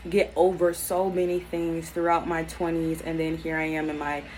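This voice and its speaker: A woman in her mid-thirties speaks in a fairly low voice, earnest and reflective, with frequent ums and restarts. Faint noise sits behind her voice. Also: level tone, normal speed, a little mellow, low-quality recording. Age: 30s. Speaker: YouTube self-help vlogger. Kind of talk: unscripted personal talk to camera.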